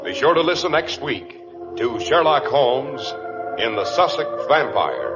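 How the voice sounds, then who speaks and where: A man's voice: mid-range voice, expressive, unhurried. A man working as a radio announcer and host, delivering scripted 1940s-style announcements with polished emphasis. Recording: muffled vintage radio, loud music behind.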